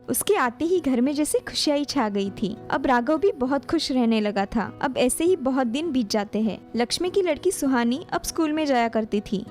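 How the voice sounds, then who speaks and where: A woman narrates a cartoon family story in a high-pitched voice. She reads from a script as a storyteller, with soft music underneath.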